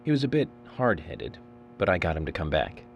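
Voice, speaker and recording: A man's voice: low voice, a little fast, a little hiss or hum. An actor playing a composed adult male FBI agent who muses aloud to an unseen partner in a video game cutscene. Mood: calm. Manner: calm and composed, musing aloud to someone unseen. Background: game music.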